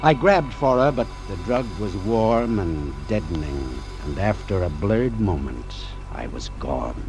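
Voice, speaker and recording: A man's voice: lowish voice, animated, a little slow, loud music behind the voice. An actor playing a hard-boiled, wry doctor, narrating his ordeal tersely and dramatically in a vintage radio drama. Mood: dazed, tense.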